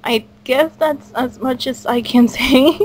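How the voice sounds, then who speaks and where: A woman with a high voice hosts a relaxed group interview. She reads questions out and chats informally, with a giggly manner. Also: slow. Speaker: host of a cast interview for a fan audio drama. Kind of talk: casual group chat, reading out listener questions.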